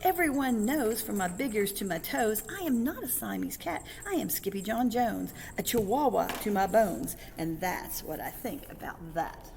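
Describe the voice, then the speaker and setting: A woman's voice: mid-range voice, moderate pace, gravelly, few pauses, long reverb tail, slight background noise, voice pushed up. A woman voicing a naughty, boastful little kitten boy who thinks he is a chihuahua, as in a storybook. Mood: defiant, excited. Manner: excitable, defiant, bragging.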